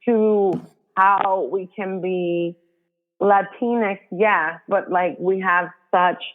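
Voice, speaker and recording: A woman's voice: medium pitch, steady tone, drawn-out words. A woman from the Dominican Republic, a guest author, talking earnestly in a casual interview and thinking aloud with many fillers. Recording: tinny phone-like line.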